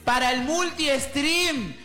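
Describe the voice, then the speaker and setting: A woman hosting a radio stream, effusive and excitable, gushing over her guest. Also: high voice, slow. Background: faint music.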